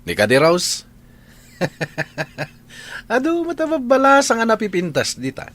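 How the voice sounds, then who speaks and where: A man's voice: high voice, wildly swooping pitch, slow pace, fairly bright tone, exaggerated voice. A man playing a cocky, teasing cousin in a radio drama.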